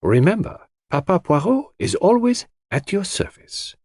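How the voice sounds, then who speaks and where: A man voicing an elderly, enigmatic Belgian gentleman detective for an audiobook, with precise, courteous and self-assured phrasing. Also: high voice, sing-song, unhurried, dry recording, clean studio sound, voice pushed up, full character voice. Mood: courtly. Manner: precise, courtly phrasing sprinkled with French address. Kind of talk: audiobook character voice.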